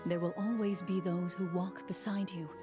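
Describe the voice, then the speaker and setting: A woman's voice: lowish voice, fairly even intonation, moderate pace, close to an everyday voice. A woman voicing a solemn storyteller who narrates a video game quest. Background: game music.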